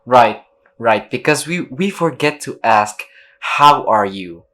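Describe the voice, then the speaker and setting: A woman's voice: extremely low pitch, animated, takes it slowly, fairly bright tone. A woman reacting casually to a video game, slangy and sweary with an exasperated manner. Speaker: game streamer. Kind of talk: casual reaction commentary over gameplay.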